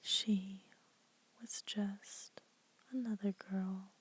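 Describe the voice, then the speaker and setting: Woman whispering slowly, flat and soft. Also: medium pitch, breathy edge. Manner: soft unvoiced whisper throughout; casual, chatty intro with fillers. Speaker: ASMR creator. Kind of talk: whispered ASMR poetry reading.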